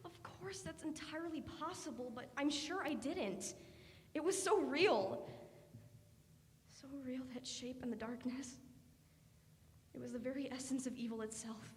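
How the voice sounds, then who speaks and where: Actress doing scared young woman in a radio drama, high voice, halting and earnest, echoey hall. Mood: haunted, insistent. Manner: halting, hesitant, repeating words. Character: frightened, earnest young woman haunted by a dark shape. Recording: noisy stage recording in reverberant hall.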